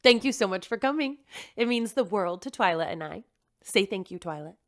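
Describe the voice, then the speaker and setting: A woman's voice: medium pitch, expressive, fairly quick, lightly acted. A woman playing a gushing, protective mother in an audio drama. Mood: doting. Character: doting mother of a computerized daughter.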